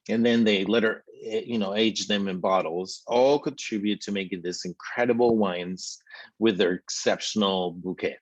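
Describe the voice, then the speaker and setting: A man's voice: on the low side, dry recording, lo-fi sound. A middle-aged man from Spain with a Spanish accent, presenting a virtual slideshow tour as a company chief, with many fillers and restarts.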